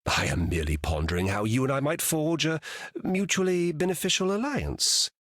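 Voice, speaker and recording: An actor playing a scheming, flattering trickster god in a video game, silky, formal and insinuating. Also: male voice, on the high side, normal speed, dry recording, clean studio sound. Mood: sly, flattering.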